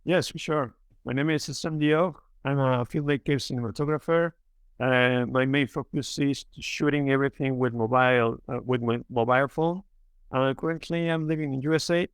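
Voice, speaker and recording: A young man from Mexico City with a Mexican Spanish accent, speaking English as a guest on a podcast interview, earnest and halting, with many fillers and restarts. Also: medium pitch, steady tone, smooth, no echo. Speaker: filmmaker guest.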